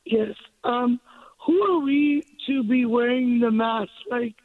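A woman calls in to a radio show over a tinny phone line. Her voice is high and raspy. She rambles, searching for the right words as she goes. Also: slow, dry recording. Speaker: caller.

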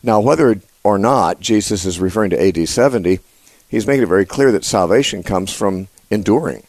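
A man hosting a live call-in Bible radio show, congested, talking haltingly with many fillers and restarts. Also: expressive, a little mellow, no room sound. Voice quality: usually a high nasal voice. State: congested, voice lower than usual.

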